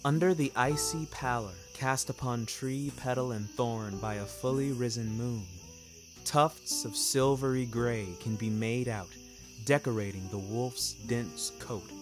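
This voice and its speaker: A man reads first-person fiction as its narrator. His delivery is slow, measured and literary with a wry touch, over a music bed. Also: mid-range voice.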